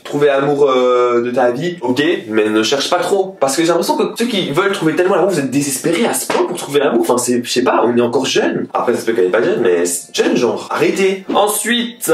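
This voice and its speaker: A young man chatting casually in a rambling way as a vlogger reacting to viewers. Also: medium pitch. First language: French. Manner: chatty, rambling, quick asides. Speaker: YouTuber. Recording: echoey room, distant mic.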